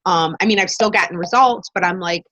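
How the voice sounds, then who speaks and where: A woman in her late thirties telling stories on a group video call, quoting herself and others with animated delivery. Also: on the low side, a little fast, dry recording. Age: 30s. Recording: dry, muffled video call audio.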